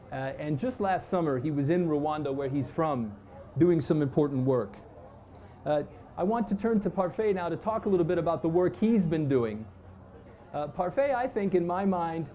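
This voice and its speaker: Mellow-voiced professor introducing a speaker. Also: male voice, a little high. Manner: friendly, with many filler pauses. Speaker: professor and moderator. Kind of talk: introductory academic talk. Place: university event tent.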